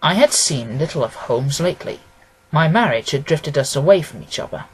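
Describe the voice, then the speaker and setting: A man narrating a classic detective story as an audiobook, reading in a measured, formal, expressive way. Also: a little high, slight background noise, rough recording.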